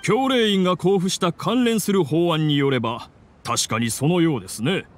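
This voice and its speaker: A man's voice: fairly high pitch, normal speed, a little hiss or hum, natural voice. An actor playing an impartial scholar judging a debate in an anime game, formal, precise and bureaucratic in his phrasing. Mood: measured. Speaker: debate judge.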